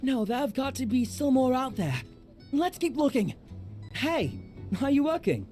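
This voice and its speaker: A man's voice: extremely high pitch, very animated, measured pace, darkish tone, soft background music, heavily acted. An actor playing a chatty, wry, cheerful townsman in a video game, with a glib, theatrical manner. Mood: upbeat, teasing.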